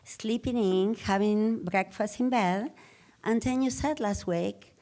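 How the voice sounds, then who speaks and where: A woman's voice: medium pitch, slow pace, warm tone. A middle-aged woman with a Spanish accent, native Spanish speaker, speaking earnestly and reflectively as a guest on a live podcast interview.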